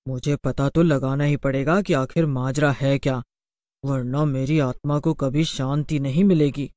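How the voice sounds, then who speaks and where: A man's voice: mid-range voice, fairly even intonation, a little slow, a little mellow, dry recording, studio quality, close to an everyday voice. A man voicing a vengeful female witch ghost in a cartoon horror story, with a menacing, accusing, dramatic delivery. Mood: anguished, resolute. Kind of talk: animated horror story dialogue.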